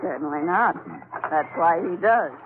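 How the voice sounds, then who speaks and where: An actress playing a cool, world-weary island wife, poised and direct, in 1940s radio adventure style. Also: female voice, on the low side, a little slow, lightly acted. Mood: cool, dry. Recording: tinny, narrow 1940s radio recording, faint noise.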